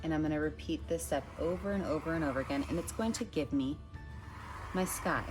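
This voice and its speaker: A woman's voice: low-pitched, a little fast. A woman art teacher hosting an instructional step-by-step tutorial, addressing viewers in a friendly, direct way. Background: background music.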